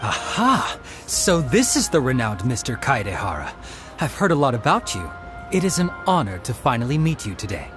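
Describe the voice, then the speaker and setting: An actor playing a polite, talkative young male detective in a video game, expressive and articulate. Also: mid-range voice, moderate pace. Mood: impressed, courteous. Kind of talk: video game character acting. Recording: game music behind.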